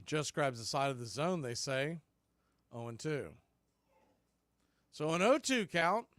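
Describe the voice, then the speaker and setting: A man calling baseball play-by-play as a radio announcer, in clipped, folksy phrases that range from quiet to excited. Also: on the high side, a little slow, no room sound. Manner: swings from hushed calls to excited shouts. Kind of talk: live radio play-by-play commentary.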